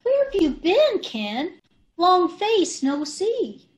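A woman's voice: extremely high pitch, sing-song, takes it slowly, exaggerated voice. A woman playing a scatterbrained, agreeable wife in an old-time radio comedy, ditzy and earnest, over a video call. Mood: chirpy, agreeable. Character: scatterbrained, agreeable wife who mangles sayings.

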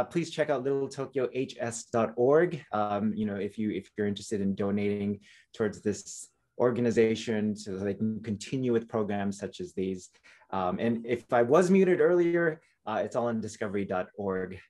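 A man's voice: on the low side, moderate pace, a little mellow. A middle-aged man hosting an online event in a casual, chatty way with joking asides. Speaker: host, moderator. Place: indoor room on video call.

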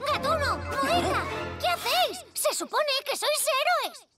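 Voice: very high-pitched, lively intonation, a little slow. An actor playing an earnest, anxious young boy superhero in a cartoon. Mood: shocked. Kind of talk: cartoon dub acting. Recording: loud music underneath.

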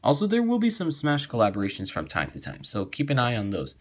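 A man with a mid-pitched voice hosts his own amateur video. He talks casually and directly to viewers, as in a simple introduction or sign-off. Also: wildly swooping pitch, quick pace. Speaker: amateur voice-acting video host.

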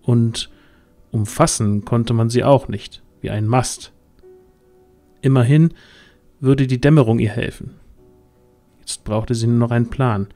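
A man's voice: mid-range voice, steady tone, a little slow, long pauses, dark tone, soft background music. A man reading scripted narration as the storyteller of a fantasy audio drama.

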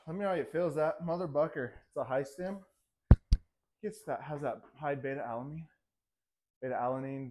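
A man's voice: medium pitch, darkish tone, slight background noise. A young man vlogging his workout in a home gym, rambling casually between sets and winded from lifting, picked up by a camera across the room. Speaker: fitness vlogger. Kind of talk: casual commentary during a workout.

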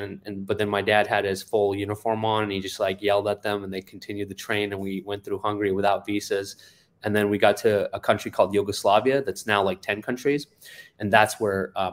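A man in his forties from Afghanistan, a founder telling his background on a video call with many ums and uhs. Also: lowish voice. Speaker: guest, company founder. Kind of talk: interview storytelling. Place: office indoors. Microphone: webcam on a video call.

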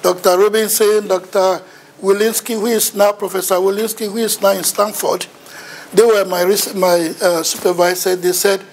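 High-voiced Ghanaian man giving a lecture. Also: level tone, normal speed, creaky, a little hiss or hum. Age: middle-aged. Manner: humorous, self-mocking, storytelling. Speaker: visiting university lecturer. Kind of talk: seminar lecture with personal story. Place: lecture room in front of a projection screen.

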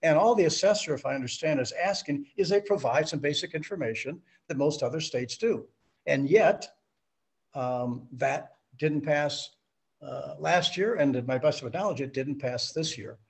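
A man hosting a virtual town hall, asking questions in a halting, conversational way. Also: a little high, expressive, gravelly. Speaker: host. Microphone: video call.

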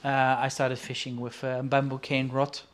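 Man in his forties, from the Netherlands, halting speech with fillers, video call from an indoor room. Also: mid-range voice, completely flat delivery. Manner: halting, with frequent fillers and restarts. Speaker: guest artist and angler. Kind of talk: casual interview. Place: indoor studio room with shelves.

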